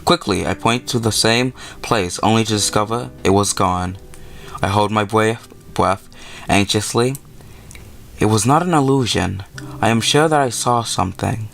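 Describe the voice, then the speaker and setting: Low-voiced man reading a game aloud. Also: moderate pace, vocal fry, fairly bright tone. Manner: stumbles over words while reading, correcting himself. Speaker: let's-play host. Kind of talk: reading a visual novel aloud with casual commentary.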